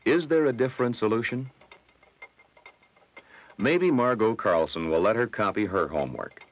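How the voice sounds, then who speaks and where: Low-voiced American man narrating, dull old soundtrack. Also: expressive. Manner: measured, instructive. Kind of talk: scripted 1960s educational film narration.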